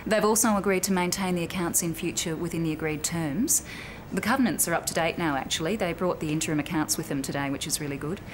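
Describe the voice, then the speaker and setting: A woman playing a composed, firm but sympathetic bank officer in a naturalistic training drama, speaking in a measured, explanatory way. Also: lowish voice, natural voice. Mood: businesslike, reassuring. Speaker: actor in a corporate training drama. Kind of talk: naturalistic acted workplace dialogue. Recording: clearly noisy location sound with room ambience.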